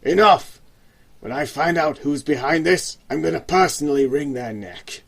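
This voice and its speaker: A man's voice: a little high, normal speed, creaky. A man voicing an angry male gang leader who growls and barks orders.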